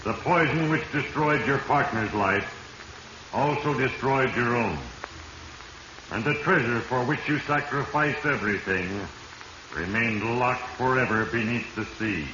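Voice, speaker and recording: A man's voice: mid-range voice, natural voice. Man as solemn, echoing voice of Fate. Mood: solemn, moralizing. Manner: solemn, deliberate, ominous pronouncements. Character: Fate personified, grave all-knowing male narrator passing judgement. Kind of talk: 1940s radio mystery narration. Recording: muffled, echoey, noisy 1940s radio recording.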